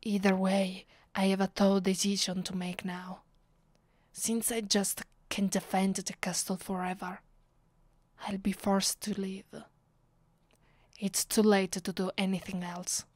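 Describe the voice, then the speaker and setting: An imperious, ancient vampire lady played by a woman speaks slowly in a soft, half-whispered voice with an Italian accent. The delivery is dramatic and possessive, close and dry, in the manner of an ASMR roleplay. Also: medium pitch, level tone, no echo. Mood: bitter, brooding. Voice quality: soft, breathy, often dropping to a whisper. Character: aristocratic female vampire, centuries old, bitter and possessive. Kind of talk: ASMR roleplay, intimate scripted acting.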